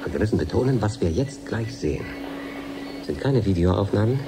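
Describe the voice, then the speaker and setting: Low-voiced male professor, music behind. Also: lively intonation, fairly quick. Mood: confident, explanatory. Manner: precise, explanatory, self-assured. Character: matter-of-fact male professor running an experiment. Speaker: scientist. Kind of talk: 1980s German radio drama.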